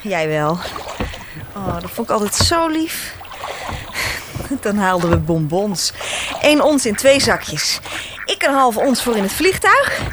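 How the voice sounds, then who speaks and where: An actress playing a cheerful sister who travels the world, breezy and teasing, in a Dutch radio play. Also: female voice, medium pitch, very animated, takes it slowly, pauses often, background noise. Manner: breezy and teasing, with frequent laughs. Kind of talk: radio drama acting.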